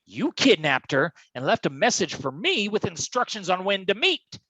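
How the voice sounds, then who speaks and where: An actor playing a hard-boiled, blustering and rather dim private detective, talking tough slang in a comic noir staged reading. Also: male voice, high voice, big pitch swings, normal speed, pushed and bright, no echo, full character voice, rough recording. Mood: exasperated bluster.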